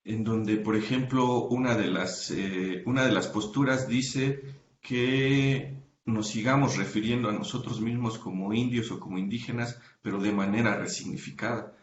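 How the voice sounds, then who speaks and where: Low-voiced man with frequent hesitations. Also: steady tone, normal speed, reverberant, muffled recording, a little hiss or hum. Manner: thoughtful, many hesitations and self-corrections. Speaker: guest researcher. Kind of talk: academic interview, explaining his book work.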